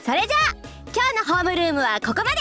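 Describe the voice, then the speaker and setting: A scatterbrained, exuberant young female teacher from a visual novel. Her voice is very high and swoops about quickly as she scolds in a cutesy, bossy way. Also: very animated, sharp tone, faint music behind, exaggerated voice. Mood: breezy. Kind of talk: Japanese visual novel voice acting.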